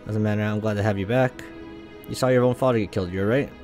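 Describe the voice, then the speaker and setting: A man reading calm, low-voiced starship captain. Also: fast talker, soft background music, close to an everyday voice. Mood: supportive. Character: steady, supportive male starship captain in a visual novel.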